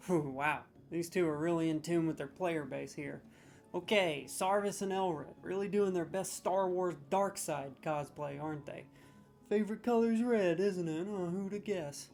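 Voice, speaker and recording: A man's voice: high voice, normal speed, creaky, darkish tone. Sarcastic man mock-judging with faint music. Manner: sarcastic, mock-serious, playfully exaggerated. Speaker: comedic judge scoring game voice lines. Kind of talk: scripted comedy commentary.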